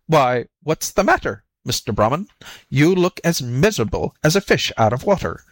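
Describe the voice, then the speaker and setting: A man voicing a sly storybook jackal who acts flustered and slow-witted, fretful and full of exclamations. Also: fairly high pitch, very animated, no room sound, pitched above the performer's own voice. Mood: puzzled, curious. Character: sly jackal feigning muddled, whimpering stupidity. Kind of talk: storybook character voice.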